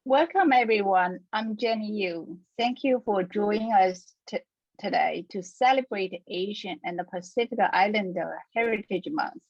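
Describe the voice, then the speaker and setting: A woman's voice: medium pitch, unhurried, dry recording. A woman moderating a panel on a webinar, speaking carefully with small restarts. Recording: dull, dry video-call audio.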